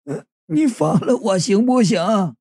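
A man's voice: high voice, big pitch swings, unhurried, mellow, breathy edge, no room sound, studio quality, exaggerated voice. A man voicing a terrified, tortured man who cries and pleads for mercy. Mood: whining. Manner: pleading, wailing. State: crying.

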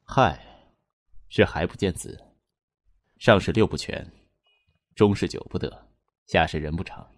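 An actor playing an eerie, matter-of-fact fortune teller in an audio drama, speaking in a measured, solemn, unyielding way. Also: male voice, low-pitched, no echo, studio quality. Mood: grave, foreboding. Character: eerie, matter-of-fact male fortune teller who divines from written characters. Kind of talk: Chinese audio drama acting.

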